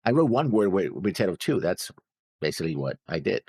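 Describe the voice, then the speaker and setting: Casting: male co-host, low-pitched voice, laid-back casual chat, dry close recording. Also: very animated, quick pace, darkish tone, slightly rough, no room sound. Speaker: co-host of an anime reaction show.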